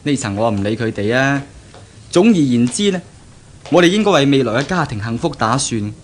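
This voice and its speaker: Casting: man playing young male graduate fiancé, pragmatic and persuasive, medium pitch, 1970s radio drama with faint noise. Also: very animated, moderate pace, lightly acted. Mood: earnest, persuasive. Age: young adult. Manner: explanatory, earnest, persuasive.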